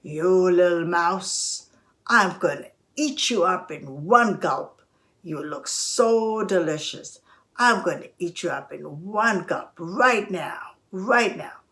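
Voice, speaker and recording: A huge, proud lion from a children's fable, acted out by a woman storyteller. He booms and taunts with drawn-out words at a slow pace. He sounds boastful and sure of his own strength. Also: expressive, fairly bright tone, full character voice. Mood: menacing.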